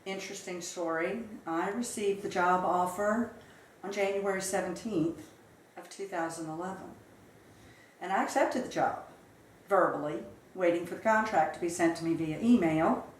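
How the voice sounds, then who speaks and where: A woman in her fifties from South Carolina, recounting her life story in a measured, reflective way during an interview. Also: lowish voice, roomy sound, a little hiss or hum, rough recording. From Charleston, South Carolina. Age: 50s. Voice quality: measured, reflective, with occasional fillers. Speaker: interview guest.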